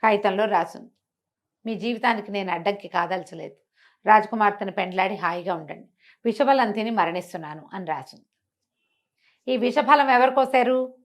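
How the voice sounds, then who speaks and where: A middle-aged woman telling a moral story in an interview, animated and acting out the characters' lines with a changing voice. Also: medium pitch, normal speed, pauses often. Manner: expressive, acts out the characters' lines with shifting voice. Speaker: storyteller guest.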